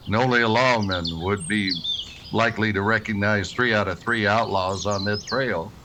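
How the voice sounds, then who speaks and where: An actor playing a stern, weary frontier marshal in a western radio drama, terse, plain-spoken and grave. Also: male voice, lowish voice, steady tone, moderate pace, noisy background, lightly acted. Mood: dry, calm.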